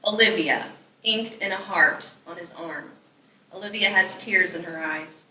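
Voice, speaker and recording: A woman's voice: fairly low pitch, a little slow, bright, forceful tone. A woman reading scripted stage directions as narrator at a live table read. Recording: echoey room, distant mic, noisy.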